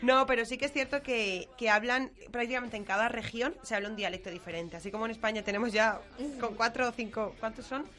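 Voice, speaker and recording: A woman with a Spanish accent from Spain, co-hosting a casual radio podcast segment and leading it with lively talk and frequent laughs. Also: on the high side, brisk, hardly any pauses. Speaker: podcast co-host.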